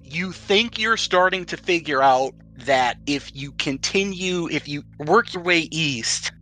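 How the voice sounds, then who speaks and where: A man's voice: fairly high pitch, sharp tone, low-quality recording. A man narrating a tabletop roleplaying session as the game master.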